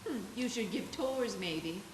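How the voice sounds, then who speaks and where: Determined, wistful small-town gal, played by an actress, radio drama, high voice, echoey and off-mic. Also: female voice, animated, measured pace. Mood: wry, resolved. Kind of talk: live radio play acting. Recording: echoey stage, distant mic, faint hiss.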